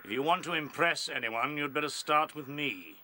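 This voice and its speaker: An actor playing a commanding rabbit officer in a radio play, clipped, condescending and authoritative. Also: male voice, on the high side, moderate pace, bright, forceful tone, a little hiss or hum.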